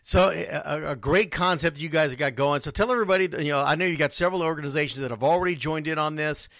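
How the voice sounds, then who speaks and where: Fast, bright-voiced male radio host. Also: fairly high pitch, no echo. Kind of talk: conversational sports radio interview.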